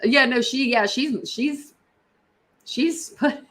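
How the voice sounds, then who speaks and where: A woman's voice: high voice, takes it slowly, a bit bright. Rambling woman chatting on a livestream. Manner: rambling, repeats herself, addresses viewers directly. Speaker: livestream host. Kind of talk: casual livestream chat reacting to videos.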